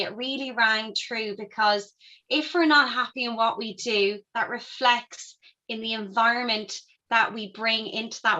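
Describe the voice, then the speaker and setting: A woman's voice: a little high, bright, forceful tone, low-quality recording. A young woman hosting a podcast, enthusiastic and effusive as she introduces and questions her guest. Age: young adult. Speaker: podcast host. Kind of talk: podcast interview.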